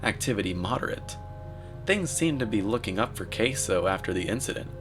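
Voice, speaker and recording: A man delivering scripted commentary in a deadpan, mock-serious manner. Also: on the low side, brisk. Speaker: narrator, video commentator. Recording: voice-over with music underneath. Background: music.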